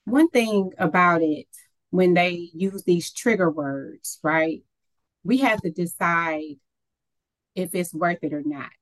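Woman podcast co-host, lowish voice, playful and joking, dry close mic. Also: takes it slowly, dry recording, poor audio. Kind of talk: conversational podcast chat.

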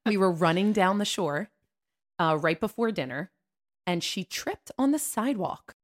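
Woman, lively swooping intonation, mid pitch, casual co-host banter, teasing, acted-out quotes. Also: moderate pace. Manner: animated, acts out quotes in teasing anecdotes. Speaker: podcast co-host. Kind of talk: casual storytelling chat with a friend.

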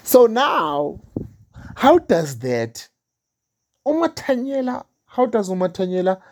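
A woman's voice: mid-range voice, very animated, slow, a little mellow. A woman hosting a casual interview, mixing Zulu and English, with frequent affirmations and fillers. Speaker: host.

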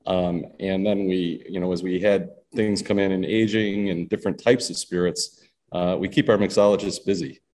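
Low, mellow man who halts with ums. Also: steady tone. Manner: halting, full of ums and uhs. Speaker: distillery co-owner. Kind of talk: casual interview talk. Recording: faint background noise.

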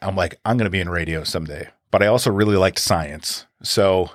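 Middle-aged man, very low deep voice, dry close sound, casual rambling guest. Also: a little fast, no echo. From Wisconsin. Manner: relaxed storyteller, many fillers and restarts. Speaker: podcast guest. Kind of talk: casual conversational interview.

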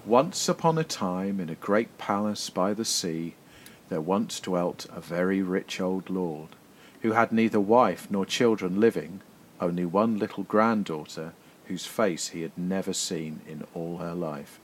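A man reading a fairy tale aloud in a measured storybook narration. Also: low-pitched, slight background noise, poor audio. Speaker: guest story reader, poet.

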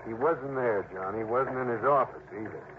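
A man's voice: medium pitch, fairly quick, narrow, tinny audio, close to an everyday voice. Man as clipped, evasive buddy, noisy old radio. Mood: worried, distrustful. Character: evasive, insistent man, an investigator's fishing buddy. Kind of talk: 1950s-60s radio drama acting.

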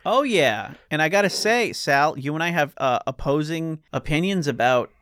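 A man's voice: on the high side, animated, moderate pace, a bit bright. A man co-hosting a casual anime podcast, talking in an enthusiastic, chatty way and swearing freely. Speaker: podcast co-host.

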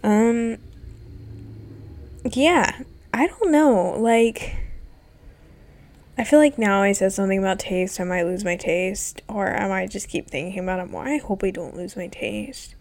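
A woman's voice: mid-range voice, unhurried, pauses often, a little mellow. A young woman in her twenties, stuffy and congested from being sick, rambling casually with lots of ums and some swearing. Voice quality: stuffy nasal. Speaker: vlogger. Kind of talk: casual personal chat.

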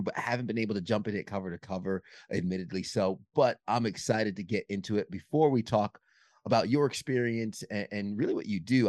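A man's voice: fairly low pitch, darkish tone, no echo, studio quality. A man hosting a podcast interview, talking in an earnest, upbeat way with some restarts. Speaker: podcast host.

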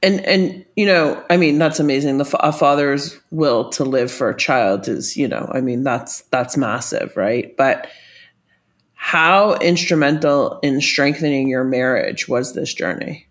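Very low-voiced woman interviewer. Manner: reflective, halting, repeats words before asking. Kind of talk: interview question.

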